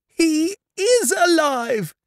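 Give the part of a warm-hearted young Victorian wife as a male reader plays her. She is excitable and breathless, her words tumbling out. The pitch leaps and swoops, and the recording is close and dry. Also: measured pace, vocal fry, no room sound, exaggerated voice. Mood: ecstatic. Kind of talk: Victorian storybook reading.

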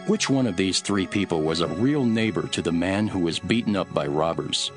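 An actor playing a calm, authoritative male teacher telling parables in an earnest, deliberate scripture drama. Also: low-pitched, over music. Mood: measured storytelling. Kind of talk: dramatised scripture reading, measured storytelling.

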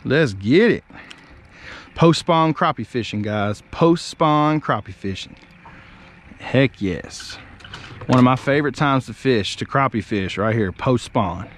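Excitable man fishing outdoors. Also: medium pitch, a little slow, a little hiss or hum. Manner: excitable, hollers when a fish bites. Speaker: fishing vlogger. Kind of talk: casual fishing vlog talk. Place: outdoors on a small boat on a lake.

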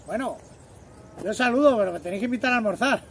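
Chatty middle-aged trucker, high voice, windy. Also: male voice, animated, fast talker. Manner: chatty, greets viewers as artists. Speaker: truck driver vlogger. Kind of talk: casual vlog chat to camera. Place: outdoors by trucks and roadside, windy. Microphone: phone held at arm's length. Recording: noisy outdoor phone audio. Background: wind and traffic noise.

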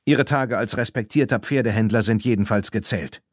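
Guy doing a stern marquis in an audiobook, formal n deliberate, lowish pitch. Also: dry recording, clean studio sound, lightly acted. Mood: stern, firm. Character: stern but fair male marquis, authoritative aristocrat. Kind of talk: audiobook character reading.

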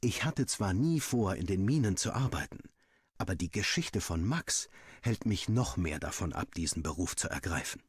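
A man reading a first-person story like an audiobook, expressive and playful with boyish indignation. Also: low-pitched, normal speed, slightly rough, no room sound, clean studio sound. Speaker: story narrator. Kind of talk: animated first-person scripted narration, like an audiobook.

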